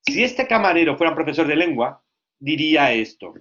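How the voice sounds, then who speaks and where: Male lecturer, teacher trainer; lively, questioning delivery; a bit high; muffled low-fi audio. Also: brisk. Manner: lively, asks frequent check questions.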